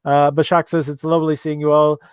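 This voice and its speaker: A man's voice: a little high, little pitch movement, fairly quick, smooth, no echo, clean studio sound. Irish man hosting a livestream, fillers. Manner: hesitant, many fillers and restarts. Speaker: livestream host. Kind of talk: live chat show, reading viewer questions.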